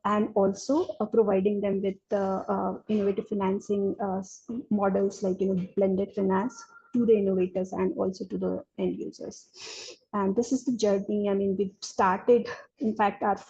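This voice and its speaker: Husky, gravelly woman presenting on a call. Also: medium pitch, little pitch movement, normal speed, mellow. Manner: hesitant, many fillers. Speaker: incubator speaker. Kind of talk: webinar presentation. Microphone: video call. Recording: dull lo-fi call audio.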